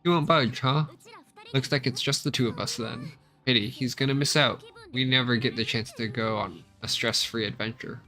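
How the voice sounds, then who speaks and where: A man's voice: medium pitch, moderate pace, bright, forceful tone, soft background music. A man voicing a perky, pushy young female adventurer from a space video game, eager and emphatic. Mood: eager, chatty.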